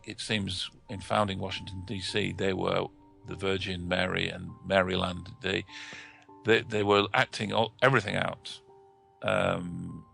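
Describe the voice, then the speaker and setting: A man speaking in a hesitant, halting way as he discusses esoteric symbolism. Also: deep voice, gravelly, sharp tone, slightly rough.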